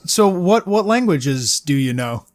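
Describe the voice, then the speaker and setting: A man hosting a podcast, asking a guest questions in a relaxed, casual way with a few restarts. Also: fairly high pitch, measured pace. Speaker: podcast host. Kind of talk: casual interview.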